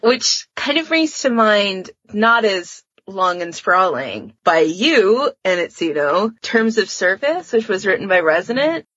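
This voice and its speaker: A woman's voice: mid-range voice, very animated, a little slow, hardly any pauses, a bit bright, slightly rough, dry recording, muffled recording. A woman chatting casually and colloquially as a panelist on a fan podcast. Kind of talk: casual chat about fan fiction.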